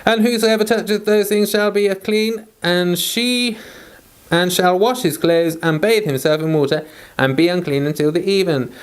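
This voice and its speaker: Male host, high-pitched. Informal presenting and scripture reading. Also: fairly even intonation, moderate pace, clean tone. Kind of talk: casual chat and scripture read-aloud.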